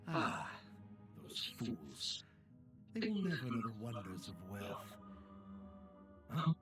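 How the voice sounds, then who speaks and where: A man's voice: fairly low pitch, very animated, slow. A man playing a smug, greedy and scornful rich villain in a game trailer. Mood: gloating. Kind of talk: scripted game trailer acting. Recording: loud music behind.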